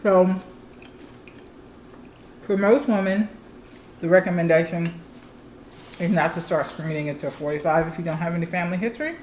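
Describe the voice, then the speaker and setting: A woman's voice: on the low side, normal speed, long pauses, darkish tone, echoey room, slight background noise, low-quality recording. A woman in her thirties chatting candidly and explaining things plainly to viewers as a channel co-host. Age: 30s. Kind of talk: casual chat.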